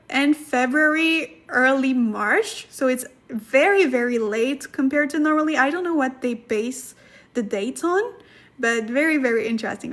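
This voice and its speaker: High-voiced woman hosting a chatty live lesson. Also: a bit bright. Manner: chatty, laughs often, many fillers. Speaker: Korean language teacher, livestream host. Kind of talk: casual livestream lesson, reading chat comments.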